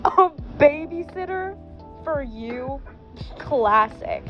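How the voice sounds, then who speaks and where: A woman's voice: sits high, lively intonation, very slow, highs cut off, soft background music, exaggerated voice. Woman reading as a nerdy teen boy. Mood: scheming. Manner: read in the reader's own voice. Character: nerdy teen boy, excitable gadget geek.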